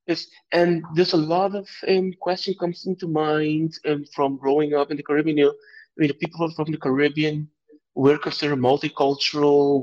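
Reflective man from Aruba, lo-fi sound. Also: medium pitch. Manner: reflective, searching for words. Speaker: guest artist. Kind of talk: podcast interview. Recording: dull lo-fi audio.

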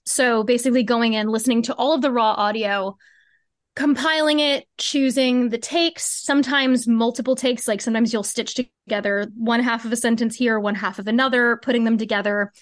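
A woman's voice: on the high side, fairly even intonation, a little fast, dry recording, studio quality. A woman speaking as a podcast guest, explaining creative work in an informal, conversational way with casual filler words. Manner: explanatory, informal, peppered with "like" and "cool". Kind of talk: casual interview conversation about craft.

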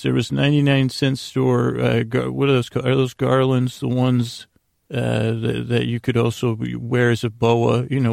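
A man with a gravelly, creaky voice rambling through a comedic monologue as a podcast host, halting, meandering and self-deprecating. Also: medium pitch, darkish tone, no echo, studio quality.

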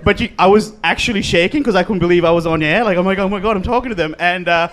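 A Sri Lankan-born comedian with an Australian-tinged accent, joking self-deprecatingly on a live podcast. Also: male voice, high-pitched, fast, a bit bright. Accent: Australian with Sri Lankan traces. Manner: self-deprecating, sweary, laughing. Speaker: comedian guest. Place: live venue with audience.

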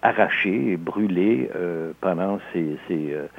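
An older man from Alsace with a Québécois accent, a novelist speaking as an interview guest in a measured, halting way with many hesitations. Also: sits low, creaky, phone-quality sound. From Alsace, France. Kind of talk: reflective interview talk.

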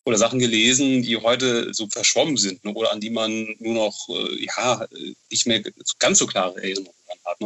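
Bright-voiced, chatty German man. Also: medium pitch. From Bonn, western Germany. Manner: enthusiastic, chatty, seeks agreement with tag questions. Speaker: interview guest, novel author. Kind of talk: casual reminiscing interview.

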